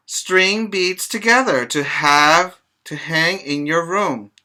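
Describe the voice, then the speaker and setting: Slow-paced, bright-voiced male preschool teacher. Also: a little high. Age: middle-aged. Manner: slow, instructive, addressing children with check-in questions. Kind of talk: read-aloud of a children's book with casual explanations for young children.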